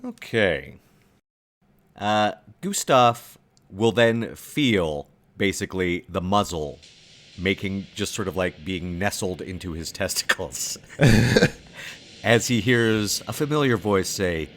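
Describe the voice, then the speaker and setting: A man's voice: low voice, lively intonation, slow pace, slight background noise. A man playing a tabletop game, casually describing what his character does. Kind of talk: casual game talk and narration.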